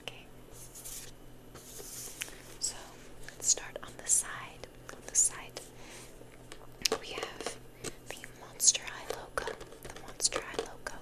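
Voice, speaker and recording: A woman's voice: takes it slowly, long pauses. A young woman hosting ASMR, rambling casually in a whisper and repeating words and phrases for effect. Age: young adult. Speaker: ASMR video host.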